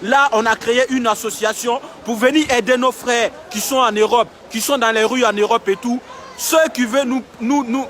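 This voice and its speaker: Young male streamer, very high-pitched and insistent, phone mic outdoors, faint crowd behind. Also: steady tone, moderate pace, fairly bright tone. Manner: emphatic, repetitive, urging viewers. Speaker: live-streaming vlogger. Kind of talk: impassioned outdoor livestream. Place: outdoors in a city street camp. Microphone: phone held while filming.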